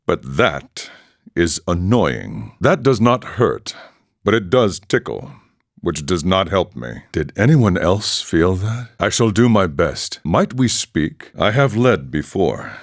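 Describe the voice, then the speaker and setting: Game role: aging stoic monk, husky and low, wry, philosophical, expressive swings. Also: male voice, no echo, clean studio sound, exaggerated voice. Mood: dry, wry. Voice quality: deep, husky, gravelly. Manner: slow, measured, philosophical delivery. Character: aging serene drug-loving warrior monk, wry and stoic. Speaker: game character voice actor. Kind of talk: video game voice lines and battle barks.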